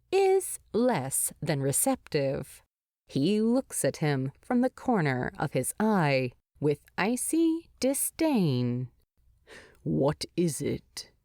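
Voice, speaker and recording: A woman narrates a story at an unhurried pace. Her pitch moves widely and expressively across phrases. The sound is dry, with very little room. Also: a little mellow, dry recording. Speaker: narrator of fan fiction.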